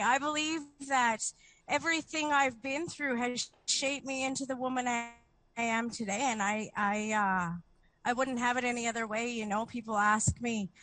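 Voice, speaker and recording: Resolute woman guest with a slightly high voice. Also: a bit bright, no room sound, rough recording. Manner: reflective, resolute. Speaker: guest, book author. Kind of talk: interview answers.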